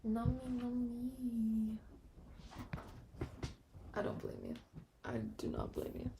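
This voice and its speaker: A woman live streamer chatting casually and playfully to viewers in a soft, breathy voice that often drops into a whisper. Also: a little high, sing-song, takes it slowly, background noise. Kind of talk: sleepy casual livestream chat to viewers.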